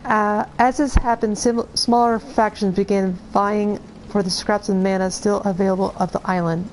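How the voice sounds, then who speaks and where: A woman streaming a video game, reading in-game lore aloud in a halting way with many restarts, her voice going hoarse. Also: mid-range voice, fairly even intonation, darkish tone, a little hiss or hum, rough recording. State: losing her voice. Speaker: gameplay streamer.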